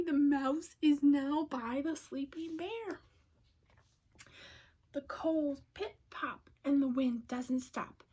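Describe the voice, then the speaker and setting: A woman reads a children's storybook aloud in a high voice. Her pace is very slow and her delivery expressive, often softening to a hush as she involves young listeners. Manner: expressive, often dropping to a breathy hush, asks listeners questions. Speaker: storybook reader. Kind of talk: children's read-aloud narration.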